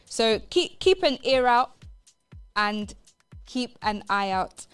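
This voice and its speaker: A woman's voice: on the high side, animated, slow pace, fairly bright tone, smooth, faint music behind. A woman presenting a live radio show in an upbeat, emphatic way, repeating phrases for stress.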